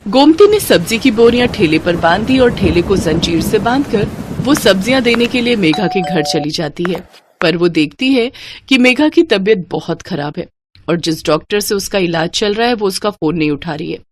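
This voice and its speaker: A woman's voice: mid-range voice, animated, normal speed, slight background noise. A woman narrator reading a scripted moral story.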